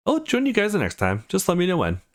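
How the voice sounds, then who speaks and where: A man's voice: high voice, huge pitch swings, fast, pitched above the performer's own voice. A man voicing an awkward, guarded eighteen-year-old male student from a visual novel, earnest and a little hesitant.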